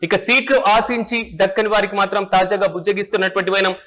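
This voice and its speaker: A man's voice: high-pitched, fairly even intonation, quick pace, fairly bright tone. A man presenting news and political analysis in a formal, repetitive manner.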